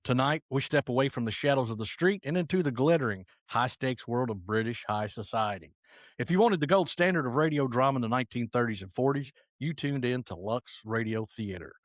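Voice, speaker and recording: A man narrates in a medium-pitched voice, recorded dry and close. He delivers a scripted introduction in the role of programme host. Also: expressive, fairly quick, no echo. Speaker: host introducing classic radio drama.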